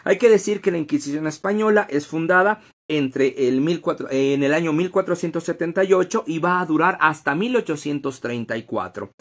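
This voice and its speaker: A man's voice: fairly high pitch, lively intonation, fairly quick, hardly any pauses. A man hosting a live-streamed history talk, lecturing conversationally with rhetorical questions and frequent fillers.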